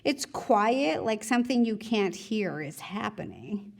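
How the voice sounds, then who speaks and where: A woman teacher reading a novel aloud in expressive, conversational first-person narration, like a classroom read-aloud. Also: medium pitch, unhurried, vocal fry. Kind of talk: read-aloud storytelling with brief teaching asides.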